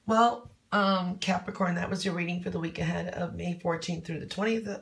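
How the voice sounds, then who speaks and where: Hoarse woman with a deep voice, chatty coffee-cup fortune reader, relaxed and rambling. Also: moderate pace. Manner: loose and conversational, many "um"s and "okay?"s. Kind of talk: casual fortune reading.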